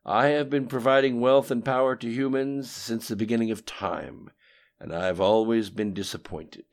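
A man voicing a menacing ancient being in an audiobook, gravelly, grandiose and contemptuous. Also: medium pitch, steady tone, normal speed, voice pushed up, lightly acted. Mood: bitter. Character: menacing ancient male being speaking through a screen. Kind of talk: audiobook character dialogue.

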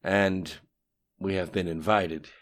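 A man voicing a cold, composed conspirator in an audiobook, deferential yet unafraid as he addresses his dark master. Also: deep voice, fairly even intonation, takes it slowly. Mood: businesslike. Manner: cool, deferential yet unafraid, matter-of-fact. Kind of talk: audiobook dialogue acting.

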